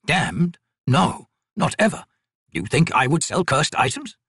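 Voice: mid-range voice, sing-song, fairly bright tone, husky, no room sound, clean studio sound, heavily acted. A narrator playing a meek, nervous old male trader in an audiobook, hesitant and fussily formal. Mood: cautious, fussy. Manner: slow, hesitant, formal and fussy.

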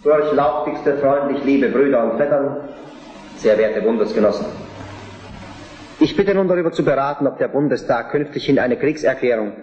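An actor playing a ceremonious monarch formally addressing a council of princes in a historical radio play. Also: male voice, medium pitch, normal speed, a little mellow, close to an everyday voice. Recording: roomy, dull drama recording.

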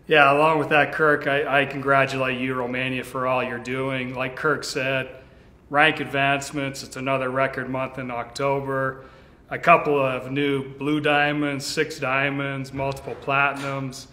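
A middle-aged man with a bright, forceful voice speaks earnestly as a company vice president, reassuring his listeners and often restarting phrases. He stands before a camera in a big office, and the room adds echo. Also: mid-range voice, little pitch movement, normal speed. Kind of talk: video address to sales leaders. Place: large bright office with tall windows, indoors. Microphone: standing before a fixed camera, two-shot. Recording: echoey room.

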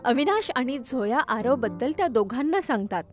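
A woman's voice: on the high side, big pitch swings, normal speed, over music. A woman narrating a scripted horror story.

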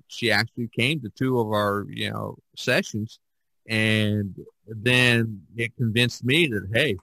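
Male podcast host, middle pitch, bright forceful voice, halting and repetitive, close dry sound. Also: dry recording, poor audio. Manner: halting, with repeated words and frequent "you know". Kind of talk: casual discussion of art practice.

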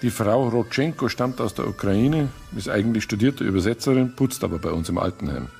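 An actor playing a helpful, hesitant local policeman with a creaky voice and a Bavarian accent in a radio drama. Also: on the low side, expressive, a little fast, darkish tone, soft background music. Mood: hesitant, informative. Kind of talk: naturalistic radio drama acting.

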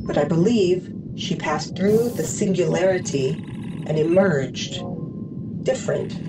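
A woman's voice: lowish voice, animated, unhurried, distant mic, music in the background, low-quality recording. A woman playing a serene, literal-minded artificial crewmate in a sci-fi audio drama, speaking formally and precisely. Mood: calm, reassuring.

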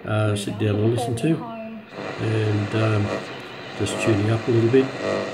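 A man giving a casual, unscripted product demonstration in a halting way with frequent pauses. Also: lowish voice, fairly even intonation, gravelly, very noisy. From Australia. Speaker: radio enthusiast presenting a receiver. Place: home kitchen, indoors.

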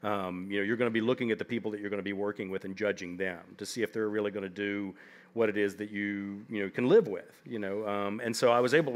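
A man in his fifties with a fairly low voice spins long, humorous anecdotes as a festival guest. He rambles easily, padding his stories with "like" and "you know", speaking from an indoor stage before a seated audience. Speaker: author guest.

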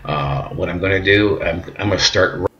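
A patient middle-aged man with a deep, low voice walks through a hands-on task step by step, unhurried and steady. His tone is bright and forceful despite the low pitch. The sound is a little dull, as from a camera mic in a home kitchen. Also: a little hiss or hum. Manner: calm, patient, repeats words, says "okay" often. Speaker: instructor demonstrating decal application. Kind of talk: step-by-step how-to narration. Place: home kitchen and workbench indoors.

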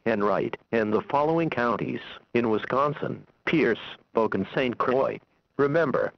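A man's voice: medium pitch, gravelly, no room sound. A man reading a scripted weather forecast bulletin as a weather radio announcer, in a formal, impersonal, synthetic-sounding delivery over the radio. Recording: dull lo-fi weather radio broadcast.

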